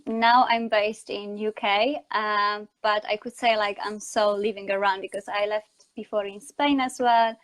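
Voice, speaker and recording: A woman's voice: medium pitch, fairly even intonation, a little slow, few pauses, a bit bright, rough recording. A woman from Poland with a Polish accent, speaking non-native English as a guest in a conversational interview about her work. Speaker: interview guest, communication coach and online educator.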